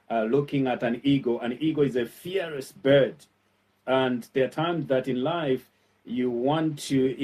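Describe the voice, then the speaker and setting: A man with a Zambian accent speaks at a middle pitch, sounding a little far from the microphone. He delivers an earnest motivational message in a pastor's style. His phrasing is halting, full of filled pauses, and he often repeats key points to encourage the listener. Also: darkish tone. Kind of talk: motivational sermon-like talk.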